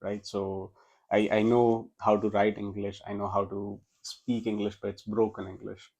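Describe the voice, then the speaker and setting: Soft-spoken young Indian man. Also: on the low side, little pitch movement, normal speed, dull audio. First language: Gujarati. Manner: storytelling with frequent restarts. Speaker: podcast guest. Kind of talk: casual interview.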